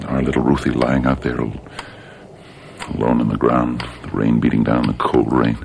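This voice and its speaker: An actor playing a frail, nervous, grieving husband in a radio mystery drama, with a stammering, repetitive, intense delivery. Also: male voice, very deep voice, flat delivery, moderate pace, creaky, darkish tone, a little hiss or hum, exaggerated voice. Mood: haunted grief. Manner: stammering, repeating words, intense. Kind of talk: old-time radio mystery drama acting.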